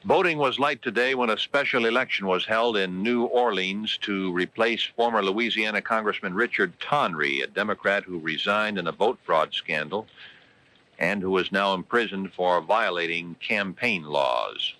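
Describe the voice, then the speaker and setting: A man's voice: fairly low pitch, moderate pace, sharp tone, poor audio. An American man reading scripted news and weather on local radio in a formal, emphatic newscaster's delivery. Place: radio studio.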